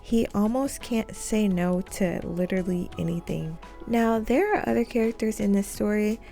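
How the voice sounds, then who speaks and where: Girl vtuber doing a webtoon review, soft-edged mellow voice with fry, faint music behind. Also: medium pitch, normal speed. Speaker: VTuber host. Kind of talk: scripted webtoon review narration with casual asides.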